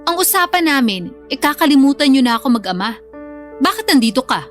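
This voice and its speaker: A woman's voice: high voice, sing-song, a little fast, faint music behind. An actress playing a cold, blunt, demanding estranged mother, curt and dismissive in a radio drama style. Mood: suspicious, cold.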